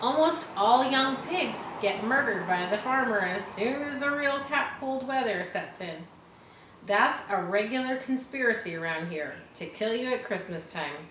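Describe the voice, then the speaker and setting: Woman as a blunt, gossipy old ewe, fairly high-voiced. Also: animated, measured pace, fairly bright tone, roomy sound, slight background noise, low-quality recording. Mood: blunt, grim. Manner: matter-of-fact, snappish. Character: blunt old female sheep, gossipy and grim.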